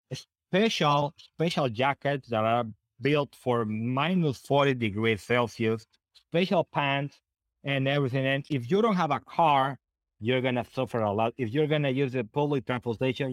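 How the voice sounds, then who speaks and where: A middle-aged man with a Honduran Spanish accent talks casually as an interview guest. His voice sits at an ordinary male pitch, recorded dry. He often doubles back on words as he looks for the English phrase. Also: no room sound. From northern coast of Honduras. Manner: halting, repeats words while searching for English phrasing. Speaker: podcast guest.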